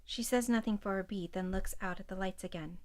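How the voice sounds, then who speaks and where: Woman narrator for a radio play, medium pitch, scripted storytelling read. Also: brisk, a little mellow. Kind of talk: scripted audio drama narration.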